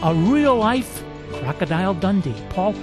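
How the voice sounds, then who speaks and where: A man's voice: high-pitched, lively intonation, measured pace, over music. A man hosting a radio interview show, introducing and questioning his guest with enthusiasm. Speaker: radio talk show host.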